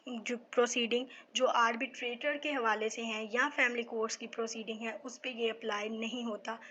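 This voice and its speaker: A woman's voice: on the high side, sharp tone, slight background noise. A Pakistani woman giving a methodical explanatory law lecture for exam students, often checking in with a short tag phrase. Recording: dull lo-fi microphone sound.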